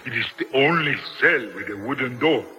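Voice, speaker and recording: An actor playing a secretive South American prisoner with a Latin American Spanish accent, speaking urgently in a radio drama. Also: male voice, medium pitch, animated, moderate pace, music in the background, close to an everyday voice. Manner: urgent, bargaining.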